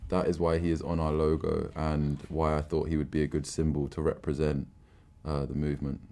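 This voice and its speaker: Very deep-voiced young man. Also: fairly quick. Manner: relaxed, thoughtful, restarts often. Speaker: filmmaker and platform founder. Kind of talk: documentary interview.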